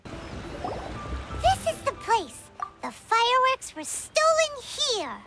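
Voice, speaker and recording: A woman voicing a tiny, excitable, childlike fairy companion girl in a video game, curious and exclamatory. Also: very high-pitched, extremely slow, music in the background, full character voice. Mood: excited.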